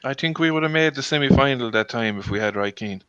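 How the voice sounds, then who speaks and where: A man from Ireland recalls past football tournaments in a plain, matter-of-fact way. His voice is smooth and clear. The sound is dry and close, with no room echo. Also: medium pitch, quick pace, bright, forceful tone, dull audio. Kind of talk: casual football chat.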